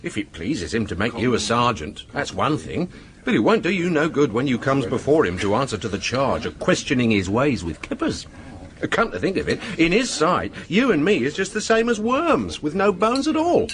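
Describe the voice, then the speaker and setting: An actor playing a pious, cheeky police constable with a working-class English accent, preaching in a radio drama. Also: male voice, medium pitch, big pitch swings, moderate pace, lightly acted. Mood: sanctimonious.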